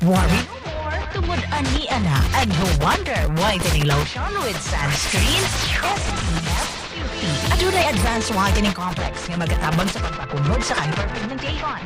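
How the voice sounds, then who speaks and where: A woman's voice: on the high side, very animated, normal speed, few pauses. A woman with a Filipino accent presenting scripted radio commercials. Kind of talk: scripted commercial narration and interviewing. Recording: loud music bed under voice. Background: loud music.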